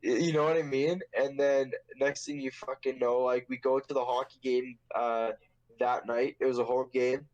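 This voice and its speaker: A man's voice: medium pitch, little pitch movement, normal speed, darkish tone, dull audio. A young man hosting a casual podcast, telling a story in a rambling, profane, joking way. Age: young adult. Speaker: podcast host.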